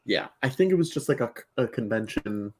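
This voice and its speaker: A man's voice: mid-range voice, animated, a little fast, a little mellow. A man appearing as a podcast guest, talking in a casual, off-the-cuff conversational style. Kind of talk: casual chat.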